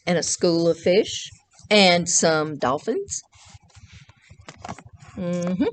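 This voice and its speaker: A woman, reading a picture book aloud to children and pausing to ask them simple questions. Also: lowish voice, slight background noise. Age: 60s. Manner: questioning, explains simply to young listeners. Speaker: children's librarian reading at storytime. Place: indoors, small decorated library room.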